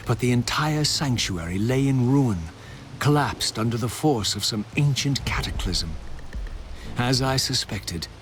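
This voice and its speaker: A man's voice: medium pitch, close to an everyday voice. An actor playing a brooding undead wraith, narrating his journey in solemn, formal, literary language for a video game. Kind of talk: formal scripted first-person game narration. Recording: clear background ambient noise.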